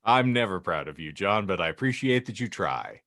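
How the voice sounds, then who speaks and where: A man's voice: low-pitched, lively intonation, a little fast, a bit bright. A man hosting a comedy podcast with wordy, mock-grandiose banter and crude jokes. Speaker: podcast host.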